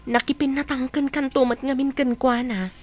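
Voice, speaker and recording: A woman's voice: high voice, level tone, normal speed. A woman playing a frank, persuasive young working woman in an Ilocano radio drama, with an Ilocano accent.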